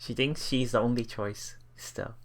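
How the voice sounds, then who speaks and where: A man reading visual novel text aloud as a let's play host, with casual commentary and a halting delivery that repeats phrases. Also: mid-range voice, steady tone. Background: game music.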